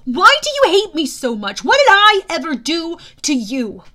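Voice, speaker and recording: A woman's voice: high voice, very animated, normal speed, a bit bright, voice pushed up. A woman voicing a defiant, earnest teenage boy hero in an audiobook, speaking in a plain, emphatic, youthful way. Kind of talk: audiobook character voice.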